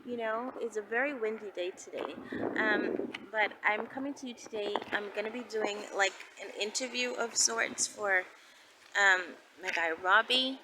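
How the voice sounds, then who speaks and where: A woman chatting casually and unscripted to her viewers, with a drawn-out, hesitant way of talking. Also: medium pitch, far from the mic, noisy background. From Bahamas. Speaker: vlogger answering viewer questions. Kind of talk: casual, unscripted question-and-answer chat to viewers.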